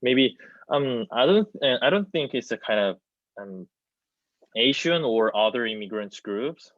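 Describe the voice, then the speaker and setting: A man's voice: mid-range voice, expressive, measured pace, a bit bright, no room sound. A man in his twenties, a non-native English speaker, talking hesitantly as a student panelist and searching for words on a dull video call. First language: not English. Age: 20s. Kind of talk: informal panel discussion. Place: plain room indoors. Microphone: wireless earbuds on video call.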